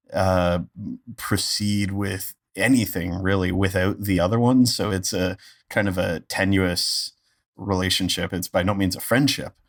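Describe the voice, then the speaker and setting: A man with a deep voice, captured dry and close. He answers casually as an interview guest, haltingly and with deadpan humour. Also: no echo. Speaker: podcast guest, voice actor.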